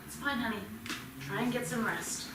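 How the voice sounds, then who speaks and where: A woman's voice: on the high side, moderate pace, lightly acted. An actress playing a protective, indignant mother in a staged play reading, flustered and defensive. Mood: soothing. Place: theatre stage, indoors. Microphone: distant room microphone. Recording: very echoey theatre, distant mic, noisy.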